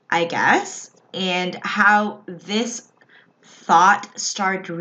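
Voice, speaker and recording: A woman from the Philippines vlogging in a casual, reflective way, often pausing and restarting her thoughts. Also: on the low side, a bit bright. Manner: slow, reflective, with frequent restarts. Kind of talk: personal casual vlog talk. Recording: slightly dull lo-fi recording.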